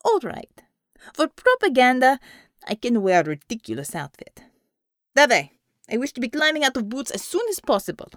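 A woman's voice: sits high, sing-song, moderate pace, no echo, clean studio sound, pitched above the performer's own voice. A woman voicing a brash, commanding Russian woman commissar in an audiobook, with a Russian accent and blunt, non-native English phrasing. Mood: grudging.

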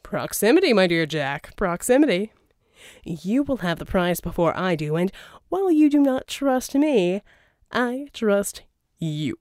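A woman's voice: mid-range voice, sing-song, a little slow, a little mellow, no room sound, studio quality. Woman performing a flamboyant scheming male villain, wildly swooping. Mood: gleeful, coaxing. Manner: theatrical, wheedling, pompous. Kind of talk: audiobook character dialogue.

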